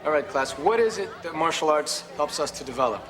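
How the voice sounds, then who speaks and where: Flat, low-energy teenage karate teacher. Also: male voice, a little fast. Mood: flat, instructional. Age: young adult. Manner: flat, unenthusiastic monotone delivery. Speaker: actor in a TV series. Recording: TV clip with music behind.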